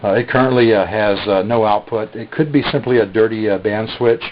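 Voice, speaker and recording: Man, lowish voice, hesitant filler-heavy delivery, muffled lo-fi capture; casual product demo. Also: slight background noise. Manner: halting, many "uh" fillers. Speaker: radio seller demonstrating a receiver. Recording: dull lo-fi recording.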